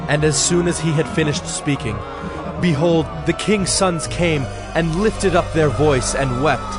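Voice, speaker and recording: A man narrating scripture from a script in a measured, solemn storytelling manner. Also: fairly high pitch, music in the background.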